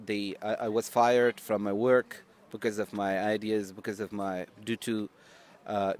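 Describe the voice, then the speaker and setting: A man's voice: on the low side, a little mellow. A man from the Kurdish region of Iran, a former English teacher, answering interview questions hesitantly with many fillers and repeated words.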